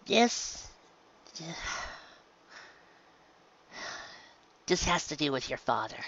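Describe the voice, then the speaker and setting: A man voicing a gentle, guilt-ridden adult pegasus mare, a mother who talks softly and haltingly in a fan fiction audiobook. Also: a little high, sing-song, highs cut off. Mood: reluctant. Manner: halting, soft, apologetic.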